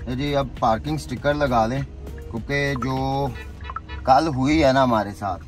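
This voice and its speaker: A man's voice: medium pitch, normal speed, faint music behind. A young man chatting casually as a vlogger, dropping in English phrases. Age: young adult. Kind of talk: casual vlog chat. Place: car and outdoor cricket stadium.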